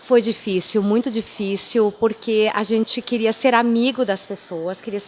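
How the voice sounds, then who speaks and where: Woman from southern brazil, german first language, pastor chatting on radio, fairly high voice, bit of faint hiss. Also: steady tone, moderate pace, darkish tone. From Timbó, southern Brazil. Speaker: pastor, interview guest. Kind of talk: radio interview, life storytelling.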